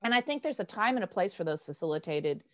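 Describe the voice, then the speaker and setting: An older woman facilitating an informal online workshop, speaking in an animated, emphatic, self-deprecating way on a video call headset. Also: on the low side, fast. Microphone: wired earphone headset.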